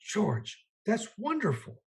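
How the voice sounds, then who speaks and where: A man playing a gentle, eager, naive guardian angel in a radio play, earnest and quaintly polite, with a husky voice. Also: high-pitched, huge pitch swings, takes it slowly, full character voice. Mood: earnest, coaxing. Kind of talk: 1940s-style radio play acting.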